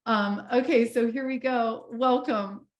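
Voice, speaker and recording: A woman's voice: fairly high pitch, fairly even intonation, unhurried, dull audio. A woman hosting a live online healing session, talking in a chatty way with many fillers and restarts. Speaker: host. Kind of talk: casual chat.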